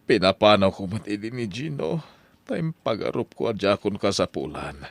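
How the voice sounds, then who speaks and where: Deep, raspy, brooding father, acted by a man. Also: big pitch swings, normal speed. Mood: bitter, defensive. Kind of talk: Ilocano radio-style drama acting.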